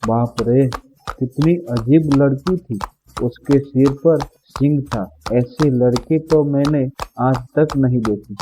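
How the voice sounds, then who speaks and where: A man's voice: medium pitch, noisy background, natural voice. A man playing a polite, smitten young landlord's son in a cartoon story. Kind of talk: Hindi cartoon story dialogue.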